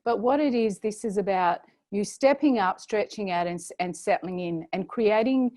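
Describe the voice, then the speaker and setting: A woman with an Australian accent presenting a paid program in a chatty, filler-heavy way, her voice going slightly hoarse. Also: medium pitch, normal speed, darkish tone, dry recording. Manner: chatty, many fillers and restarts. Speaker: program host presenting an offer. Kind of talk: webinar sales pitch.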